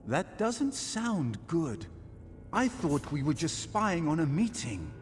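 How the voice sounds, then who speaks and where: A man playing an earnest young adventurer eager to prove himself in a fantasy video game, speaking deliberately. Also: fairly high pitch, big pitch swings. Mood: worried. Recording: long reverb, faint background music.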